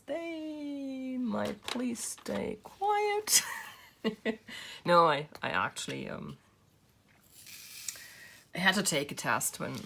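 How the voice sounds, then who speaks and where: A woman's voice: on the low side, gravelly, a bit bright. A woman in her thirties with a German accent, chatting casually to camera and laughing at herself often. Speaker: craft channel host.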